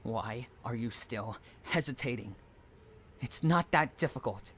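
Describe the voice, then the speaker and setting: Casting: male actor as possessive, unhinged stalker for audio roleplay; mid-pitched, unhurried delivery, taunting, sliding between tender coaxing and threat. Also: expressive, background noise. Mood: soft, threatening. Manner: taunting, swinging between soft coaxing and menace. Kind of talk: intimate audio roleplay acting.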